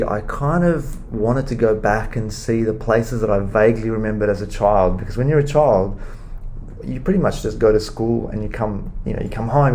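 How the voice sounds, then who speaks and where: A middle-aged man with an Australian accent, answering interview questions in a relaxed, conversational way with frequent fillers. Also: lowish voice, slight background noise, rough recording. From Australia and Italy. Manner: relaxed, many fillers and restarts. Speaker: interview guest, tourism board manager.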